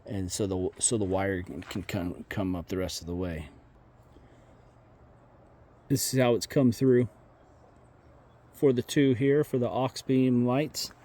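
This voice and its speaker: A man's voice: lowish voice, steady tone, measured pace, pauses often, warm tone. A man with an American accent giving a casual, plainspoken hands-on walkthrough as a vlogger. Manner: plainspoken, informal. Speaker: vlogger showing his vehicle radio install.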